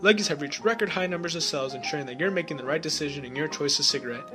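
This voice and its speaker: A man's voice: on the high side, racing. A man reading a scripted, upbeat 1930s-style radio commercial like a salesman. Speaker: ad announcer. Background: music.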